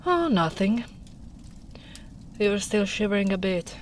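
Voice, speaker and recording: Woman playing a slow, soft-spoken gentle ogress. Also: mid-range voice, sing-song. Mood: tender, teasing. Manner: soothing, unhurried, gently teasing. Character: gentle big strong female ogre, caring, teasing rescuer. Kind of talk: soft-spoken ASMR roleplay. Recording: noisy storm ambience behind voice.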